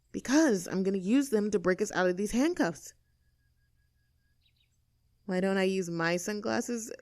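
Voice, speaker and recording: A woman's voice: mid-range voice, a little mellow, dry recording, studio quality, natural voice. A woman playing a cocky, rebellious young mean girl in an audio roleplay, snarky, bossy and self-assured as she talks to the listener. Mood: snippy.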